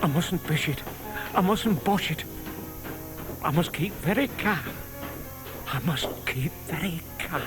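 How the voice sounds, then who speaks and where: High-voiced, nervous older gentleman. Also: expressive, takes it slowly, pauses often, over music. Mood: nervous, excited. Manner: nervous, muttering to himself. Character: timid, lovestruck older gentleman, nervous and earnest. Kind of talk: audio theatre dramatisation of a children's story.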